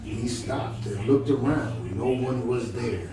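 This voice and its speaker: A man reading a storybook aloud in an encouraging, conversational manner, pausing to check that the listener follows. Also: mid-range voice. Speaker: storybook reader. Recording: very echoey, distant mic, music behind.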